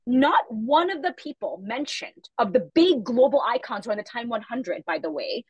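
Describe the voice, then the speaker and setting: A woman's voice: on the high side, a crowd in the background. A woman guest in a casual podcast interview, talking animatedly and emphatically about her company and upbringing. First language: Chhattisgarhi. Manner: fast, animated, emphatic storytelling. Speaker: guest, media company founder.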